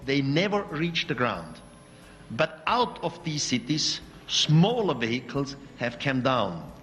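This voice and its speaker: A man's voice: mid-range voice, very animated, slow, a bit bright, a little hiss or hum. Swiss-accented man lecturing in a hall. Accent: Swiss German. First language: Swiss German. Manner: accented, searching English with slips. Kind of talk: public lecture. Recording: hall recording with faint music.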